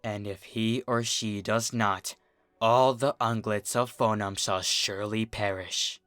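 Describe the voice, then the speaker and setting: A man reading a scripted fantasy story aloud in a theatrical, deliberate storytelling manner. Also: on the low side, fairly even intonation, fairly bright tone, dry recording, faint music behind. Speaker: fan fiction reader, narrator. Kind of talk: dramatic scripted story narration.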